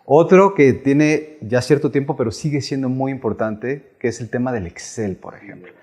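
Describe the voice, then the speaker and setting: A man's voice: medium pitch, normal speed, a little mellow. A man hosting an interview, asking long conversational questions. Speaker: podcast host.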